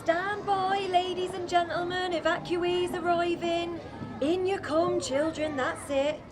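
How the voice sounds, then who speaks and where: A woman playing a brisk female billeting officer receiving evacuee children in a wartime radio play. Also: very high-pitched, steady tone, music in the background, crowd noise. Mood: brisk, official. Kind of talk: radio drama acting. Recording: crowded hall noise behind voice.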